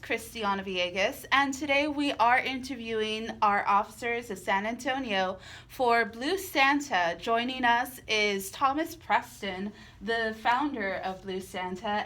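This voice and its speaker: A woman's voice: fairly high pitch, fairly bright tone. A woman co-hosting a radio interview show, introducing guests and commenting between answers. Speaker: radio co-host.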